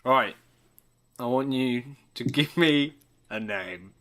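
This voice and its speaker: A man's voice: mid-range voice, takes it slowly, fairly bright tone. Male co-host, mid-range voice, flippant and slangy, loose bantering chat. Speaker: podcast co-host. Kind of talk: casual joking chat. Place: online video call.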